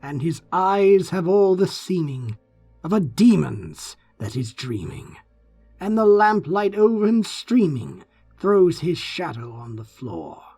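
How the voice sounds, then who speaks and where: A man narrating gothic poetry in a theatrical, brooding manner, with deliberate phrasing. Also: on the high side, big pitch swings, warm tone, husky, faint music behind. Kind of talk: dramatic gothic poetry reading.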